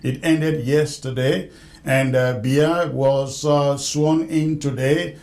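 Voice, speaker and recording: A man's voice: mid-range voice, steady tone, slow, a little mellow. A man speaking as an interviewer on a political call. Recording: echoey, roomy sound.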